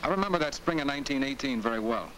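An actor playing the regretful ghost of an American soldier in a plain-spoken 1940s film monologue. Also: male voice, medium pitch, lively intonation, racing, fairly bright tone, close to an everyday voice, poor audio. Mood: rueful. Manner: plain-spoken, rueful, confessional. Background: music.

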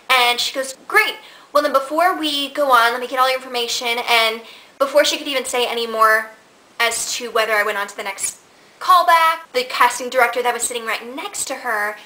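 A woman's voice: a little high, rough recording. A woman in her twenties telling stories in a casual question-and-answer vlog, talking from her living room to a camera in front of her. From Nebraska. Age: 20s. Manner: chatty and animated, gestures with hands while telling stories. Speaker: vlogger. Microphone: camera in front at desk distance.

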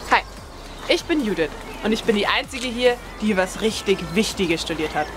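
A woman's voice: medium pitch, animated, normal speed, over music. A woman from Bavaria casually introducing herself to camera in a tongue-in-cheek way. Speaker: video crew member.

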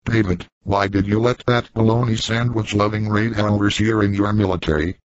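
Formal robot hero man, voiced by a text-to-speech engine, lowish, stiff delivery, dry muffled sound. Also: fairly even intonation, moderate pace, no room sound, close to an everyday voice. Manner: stiff, formal machine reading. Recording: dry lo-fi synthesized audio.

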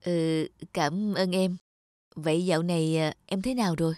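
A man voicing an awkward, apologetic young man in an animated drama, hesitant but trying to be firm. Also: fairly high pitch, normal speed, no echo, studio quality, natural voice. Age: young adult. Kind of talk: animated moral drama dubbing.

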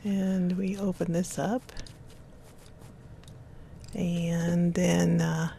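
A soft-spoken woman playing a game alongside her husband, talking quietly. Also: fairly low pitch, little pitch movement, very slow, gravelly, a little hiss or hum. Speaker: co-player. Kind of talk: casual gameplay chat.

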